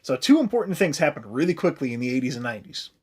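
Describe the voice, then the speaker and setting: An American man co-hosting a comedy podcast, explaining history in casual, profane, animated chat. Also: mid-range voice, very fast, breathy edge. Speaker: podcast co-host.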